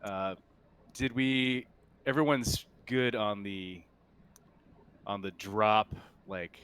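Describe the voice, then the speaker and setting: A man acting as game master, describing scenes in a halting, improvised way during a tabletop roleplaying game. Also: fairly low pitch, fairly even intonation, fairly bright tone, clear, resonant tone.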